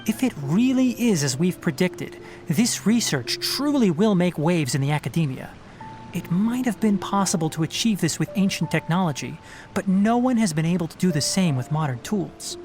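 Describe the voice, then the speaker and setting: A calm, rational young ranger. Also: male voice, high voice. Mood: explaining. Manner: measured, explanatory, firm when giving instructions. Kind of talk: studio game voice acting. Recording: game audio with faint music underneath.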